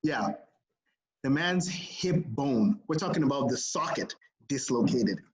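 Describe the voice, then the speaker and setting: A man co-hosting a casual sports podcast, animated and laughing often, with plenty of fillers. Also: medium pitch, unhurried, poor audio. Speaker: podcast co-host.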